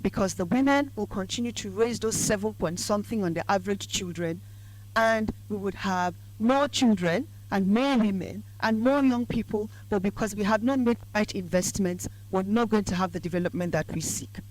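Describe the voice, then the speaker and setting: Hoarse woman giving a lecture. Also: medium pitch, rough recording. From Nigeria. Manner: measured and emphatic, building to points. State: croaky, hoarse voice. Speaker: guest speaker at a public lecture. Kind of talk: formal lecture speech. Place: lecture event.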